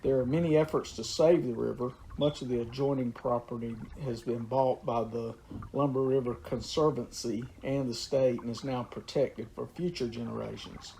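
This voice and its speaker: Unhurried man, gravelly low voice. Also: steady tone, mellow, a little hiss or hum, low-quality recording. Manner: unhurried, with frequent "uh" pauses. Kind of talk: informal talk on local history and nature.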